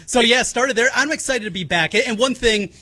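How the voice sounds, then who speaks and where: A middle-aged man with a notably high voice and a Midwestern American accent. He hosts sports radio, explaining things in an emphatic, casual style. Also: normal speed, sharp tone. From Iowa, United States.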